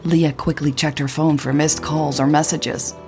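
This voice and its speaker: A woman reading scripted audio drama narration in a husky voice. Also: low-pitched, fairly even intonation, normal speed, music in the background.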